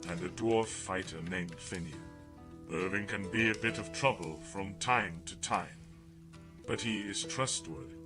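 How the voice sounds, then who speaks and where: An actor playing a businesslike guildmaster in a fantasy audio game, brisk and instructing. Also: male voice, sits low. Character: businesslike guildmaster handing out quests in a fantasy town. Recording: plucked guitar music behind the voice. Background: guitar music.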